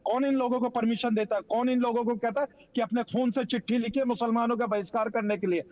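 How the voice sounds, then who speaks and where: A man's voice: extremely high pitch, fairly even intonation, fast, a little mellow. A man arguing insistently as a TV debate panelist, with rhetorical, repeated points, over a lo-fi link. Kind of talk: argumentative TV debate. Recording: dull lo-fi remote link.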